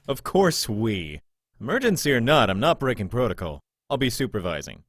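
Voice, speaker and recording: A man's voice: mid-range voice, sing-song, normal speed, dry recording, clean studio sound. A man playing an irritable, foul-mouthed security guard in a visual novel, sneering and impatient. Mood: curt.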